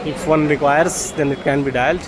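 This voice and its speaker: Male travel vlogger, Indian accent, fairly high-pitched, hesitant explanatory walk-through, recorded in a busy crowded station. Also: steady tone, brisk, a crowd in the background, low-quality recording. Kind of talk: informal walk-through guide commentary. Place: public railway station, on the move.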